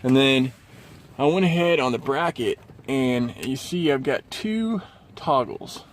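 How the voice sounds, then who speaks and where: A man's voice: mid-range voice, animated, unhurried, a little hiss or hum. A man hosting a casual, unscripted DIY car install walkthrough, explaining informally and in a rambling way. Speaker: DIY car video host. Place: in and around a car.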